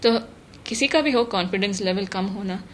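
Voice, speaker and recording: Interview guest: woman, mid-range pitch, thoughtful, English terms mixed in, some background noise. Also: normal speed. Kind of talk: measured interview answers. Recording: noticeable steady background noise.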